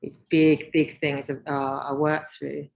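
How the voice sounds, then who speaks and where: A woman hosting a podcast conversation, gentle and affirming, adding supportive remarks. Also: sits low, flat delivery, measured pace, gravelly, a little hiss or hum. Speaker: podcast host.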